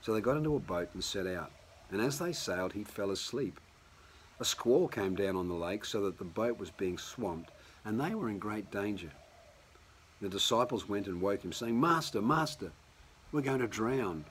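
A man preaches in a relaxed, conversational way, his very low voice a little croaky from a cold. He often restarts his sentences as he talks from his home. A faint hum sits behind him. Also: normal speed. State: hoarse. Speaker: pastor. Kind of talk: sermon.

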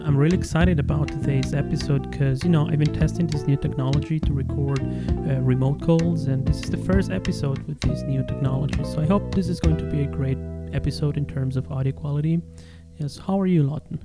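A man's voice: a little high, fairly even intonation, brisk, over loud music. A man from Ecuador hosting a casual podcast interview in an enthusiastic, chatty way.

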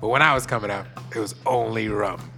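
Bright, playful middle-aged man from Trinidad. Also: lowish voice, brisk, gravelly, soft background music. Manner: playful, joking, laughs often. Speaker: guest co-host. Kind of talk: casual podcast chat. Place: podcast studio.

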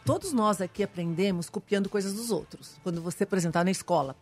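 A woman guest professor on a radio talk show, explaining calmly with examples. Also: medium pitch, fast, soft background music.

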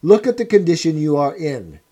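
A man voicing a cold, menacing male interrogator who speaks in a measured, commanding way. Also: fairly high pitch, big pitch swings, dark tone. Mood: contemptuous.

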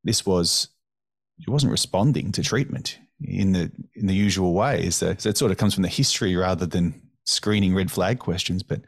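A man hosts a podcast conversation, speaking in a low voice that sounds dry and close. He talks thoughtfully, with steady affirmations. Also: moderate pace, gravelly, dry recording. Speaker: podcast host. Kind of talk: conversational interview.

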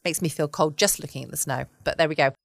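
Low-voiced southern English woman. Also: quick pace, gravelly, dry recording, clean studio sound. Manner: informal, chatty. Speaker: podcast host. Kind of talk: relaxed bedtime podcast chat. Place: home.

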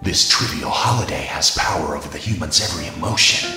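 A man voicing a cold, scheming robot hedgehog in a radio play, measured, formal and menacing. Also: rumbling low, lively intonation. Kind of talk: amateur radio play acting. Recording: echoing voice under loud music.

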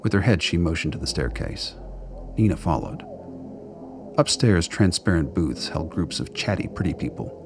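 Super deep creaky guy narrating a radio play, slow-burn noir style, music playing behind. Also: expressive. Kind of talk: scripted dramatic narration.

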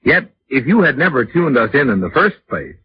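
A man's voice: mid-range voice, sing-song, brisk. A man announcing a sponsored programme in 1940s radio style. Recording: muffled, noisy vintage radio broadcast.

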